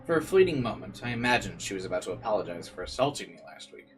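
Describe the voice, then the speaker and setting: A man with a fairly low voice reads visual novel narration aloud as a let's player. He mixes dramatic reading with casual commentary, and faint game music sits behind him. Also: sing-song, fast.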